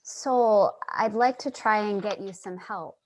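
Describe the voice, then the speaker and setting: Actress as thoughtful female passerby, unhurried, mid-pitched, gentle and concerned, stage drama narration. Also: close to an everyday voice, rough recording. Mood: insistent concern. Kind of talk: staged play reading, dialogue and monologue.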